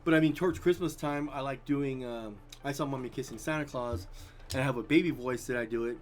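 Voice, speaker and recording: A man's voice: mid-range voice, fairly quick. A middle-aged man chatting casually as a guest, rambling and easygoing, with his voice drying out. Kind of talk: casual chat.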